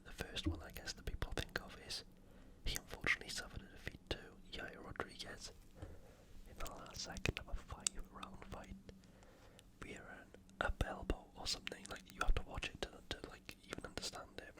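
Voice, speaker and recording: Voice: a little fast, poor audio. Asmr host whispering the whole time, chatty casual sports commentary. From United Kingdom. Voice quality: whispered, unvoiced. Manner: casual, conversational. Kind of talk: ASMR fight preview commentary.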